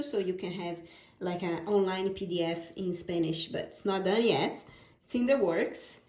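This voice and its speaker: A woman's voice: mid-range voice, darkish tone, echoey room. A woman from Brazil with a Brazilian Portuguese accent, speaking English as a second language and gushing as she unboxes her own oracle deck. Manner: gushing, repeats words of praise. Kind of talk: product unboxing vlog.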